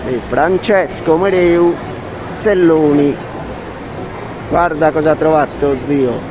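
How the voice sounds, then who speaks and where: A man with an Italian accent giving an excitable walking tour of a big shopping mall, mixing Italian exclamations into broken English, recorded on a handheld camera. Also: a little high, unhurried, lo-fi sound, background noise. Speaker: family vlogger. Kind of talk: casual walking tour commentary. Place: large indoor shopping mall. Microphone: handheld action camera. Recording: loud background music over the voice.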